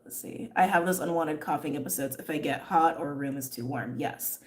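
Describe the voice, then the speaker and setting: Low-voiced wheezy woman chatting candidly. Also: fairly quick. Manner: chatty, many fillers, frequent laughs, candid. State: wheezy from asthma. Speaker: tarot reader and livestream host. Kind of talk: casual livestream chat, reading viewer comments.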